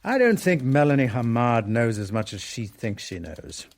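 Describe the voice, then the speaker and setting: An actor playing a sceptical, self-assured foreign correspondent in a radio drama, with a wry and dismissive manner. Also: male voice, medium pitch, very animated, moderate pace, darkish tone, close to an everyday voice. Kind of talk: radio drama acting and first-person narration.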